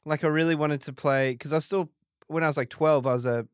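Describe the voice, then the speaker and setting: A man in his forties with a faint South African accent under an Australian one, telling stories in a relaxed interview, full of restarts and fillers. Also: fairly high pitch, fast talker, clear, resonant tone, no room sound, studio quality. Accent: faint South African, mostly Australian. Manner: rambling, many restarts and fillers. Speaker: guest comedian. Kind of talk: casual interview chat.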